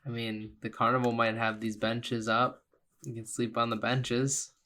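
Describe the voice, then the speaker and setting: A man's voice: medium pitch, little pitch movement, measured pace, sharp tone. A man playing in a tabletop roleplaying game, casually narrating and speaking for a character.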